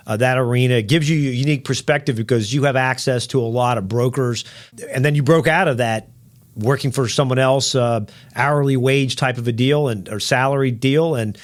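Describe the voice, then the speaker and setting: A man co-hosting a podcast interview, talking in a chatty, rambling way with the odd joke. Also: medium pitch. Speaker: podcast co-host.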